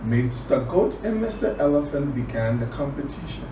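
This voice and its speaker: A man from Liberia telling a children's story and teaching words, deliberate, repeating phrases for emphasis. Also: medium pitch, sing-song. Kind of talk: children's storytelling and word lesson. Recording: roomy, distant, clearly noisy recording.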